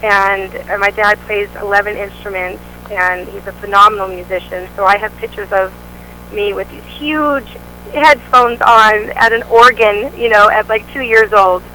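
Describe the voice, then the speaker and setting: A woman's voice: medium pitch, steady tone, normal speed, pushed and bright, a little hiss or hum, poor audio. A woman, the singer of a band, answering interview questions in an upbeat, chatty way with many fillers. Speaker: band vocalist, interview guest.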